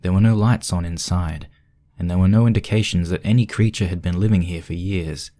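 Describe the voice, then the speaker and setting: A man's voice: sits low, fairly even intonation, fairly quick, mellow, dry recording, clean studio sound. A man reading scripted narration for a spooky fan fiction story.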